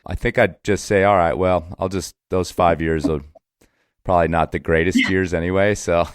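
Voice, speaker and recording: A man's voice: low-pitched, fairly even intonation, moderate pace, smooth, no echo, clean studio sound. A man, chatting casually as a podcast host. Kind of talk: casual chat.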